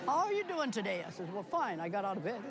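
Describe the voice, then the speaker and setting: A woman's voice: medium pitch, very animated, fast talker. A hundred-year-old woman from Minnesota, chatting and reminiscing in a wry, plain-spoken way. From Minnesota, United States. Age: 100 years old. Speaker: birthday celebrant being interviewed. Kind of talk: casual interview reminiscing. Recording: loud crowd at a party.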